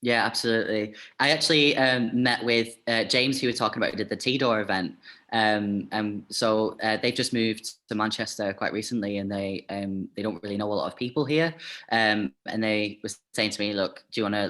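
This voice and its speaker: A man's voice: on the low side, a bit bright. A man chatting as an interview guest, talking casually with lots of fillers and "like".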